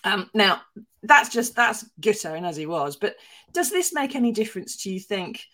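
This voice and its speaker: A woman's voice: mid-range voice, big pitch swings, moderate pace, fairly bright tone. A woman co-hosting a news podcast, telling stories in a chatty, wry way. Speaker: podcast co-host, newspaper columnist. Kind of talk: casual news chat.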